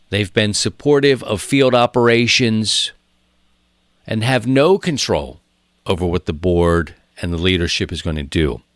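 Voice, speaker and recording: A man's voice: on the low side, fairly bright tone, dry recording, clean studio sound. A man in his thirties hosting a podcast in casual, rambling talk, often repeating phrases for emphasis. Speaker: podcast host.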